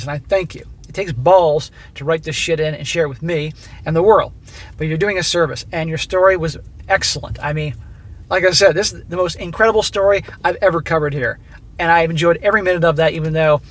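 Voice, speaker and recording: Man, high voice, blunt and profane, advising listeners, getting hoarse. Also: fairly quick, a bit bright. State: losing his voice. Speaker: host reading listener stories. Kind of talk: reading a submitted story aloud with commentary.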